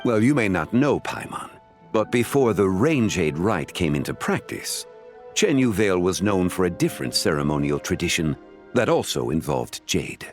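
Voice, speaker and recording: A man playing a composed, erudite gentleman scholar in a video game, speaking in a formal, courteous, explanatory manner. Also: sits low, sing-song, normal speed, faint music behind. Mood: calm, instructive. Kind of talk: video game character dialogue.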